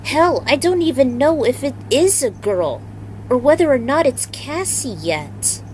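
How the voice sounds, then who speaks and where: A woman's voice: high-pitched, big pitch swings, measured pace, darkish tone, voice pushed up, low-quality recording. Woman reading a tough female general, faint noise. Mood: tenacious, frank. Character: tough, tenacious female military general, pregnant.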